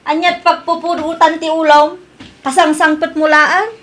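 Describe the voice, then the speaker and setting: A woman's voice: very high voice, little pitch movement, moderate pace, a bit bright. A Filipino woman playing an anxious young wife in an Ilocano radio drama, questioning and pleading in a worried manner. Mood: exasperated.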